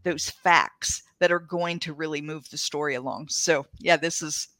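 A woman's voice: deep voice, fairly even intonation, moderate pace, a bit bright, no echo. A woman hosting an interview, chatting eagerly with a fellow author and agreeing often. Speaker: podcast host and fellow author. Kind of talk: casual interview chat.

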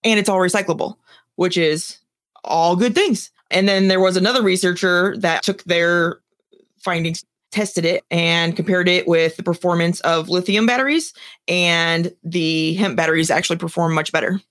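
A woman's voice: lowish voice, normal speed, a bit bright. A woman co-hosting a podcast, explaining a story in an informal, chatty way. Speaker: podcast co-host. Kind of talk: casual podcast chat, explaining a news story.

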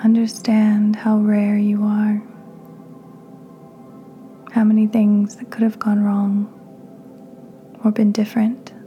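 Soft, very mellow woman guiding meditation. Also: mid-range voice, dull audio, slight background noise. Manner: calm, soothing, unhurried pauses. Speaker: podcast host, meditation guide.

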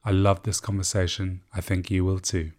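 A man hosts a podcast in a relaxed, enthusiastic way, with a fairly low voice. He talks in loose, chatty sentences full of small fillers. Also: moderate pace. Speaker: podcast host. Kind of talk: conversational interview.

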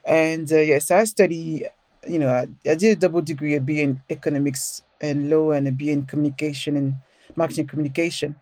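A middle-aged woman with a French accent speaks as a guest on a podcast, animated and quick to laugh. Her voice has a mellow, dark tone. It sounds dry and close to the mic. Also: deep voice, no room sound. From France. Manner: animated, laughs often, frequent restarts. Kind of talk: casual storytelling interview.

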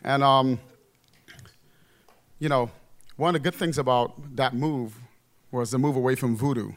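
A man's voice: medium pitch, steady tone, measured pace. A man in his forties, from Trinidad and raised in the Bronx, telling a personal story live on stage in a loose conversational way with many fillers and asides. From Trinidad, raised in the Bronx, New York.

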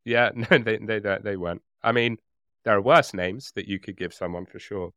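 A man chats casually as a podcast co-host. His voice is smooth and clear, recorded dry. He rambles a little hesitantly, with a joking streak. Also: lowish voice, moderate pace, a bit bright, dry recording. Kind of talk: casual chat.

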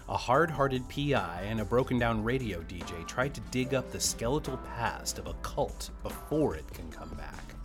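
Lowish-voiced man reading over music. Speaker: podcast host introducing a show. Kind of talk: scripted promotional narration.

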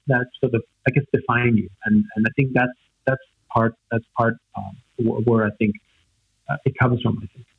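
A man's voice: medium pitch, slightly rough. A man from Austria, a native German speaker in his forties, reflecting in conversation with many fillers and tag questions. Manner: hesitant, many fillers and "right?" tags. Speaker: guest. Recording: tinny phone-like line.

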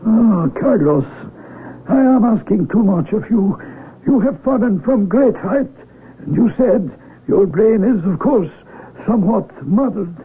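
An actor playing a wise, gentle old blind village elder in a radio drama, speaking solemnly and deliberately. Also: male voice, high voice, lively intonation, slightly rough, full character voice. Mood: kindly, grave. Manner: deliberate, halting, solemn, kindly. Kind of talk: 1970s radio drama acting. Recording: tinny, noisy 1970s AM radio recording.

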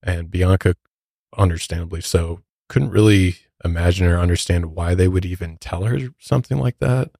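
Podcast host, American man, low register, conversational true crime storytelling, dry studio sound. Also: moderate pace, vocal fry, warm tone, no room sound. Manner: conversational, informal asides.

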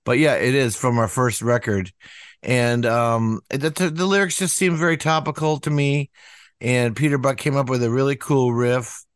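A man musician talking casually in an interview, rambling with lots of "you know" fillers and acting out quotes in a higher voice. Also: moderate pace, smooth, no echo, clean studio sound. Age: older. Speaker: musician guest.

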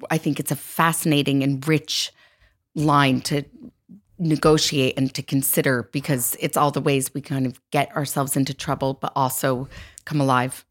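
A woman, a psychotherapist and author, answering interview questions in a thoughtful, articulate way. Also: very deep voice. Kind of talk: casual interview.

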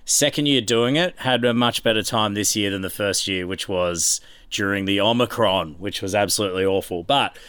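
A man's voice: fairly low pitch, normal speed, hardly any pauses, a bit bright, clean tone, dry recording. An Australian man hosting a podcast in a casual, bantering sports chat style. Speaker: podcast host.

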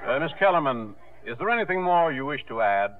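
Stern judge, muffled recording. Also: male voice, a little high, big pitch swings, brisk. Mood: stern, formal. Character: stern, impatient male courtroom judge. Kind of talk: 1950s radio drama acting. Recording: noisy, band-limited 1950s radio recording.

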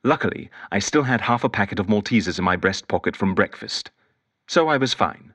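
A man's voice: lowish voice, lively intonation, fairly quick, fairly bright tone, husky. An actor playing a lonely, eccentric male detective who rambles fussily and whimsically into an audio diary in an audio drama. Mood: rueful. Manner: fussy, whimsical, wistful, digressive. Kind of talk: audio drama monologue as spoken journal.

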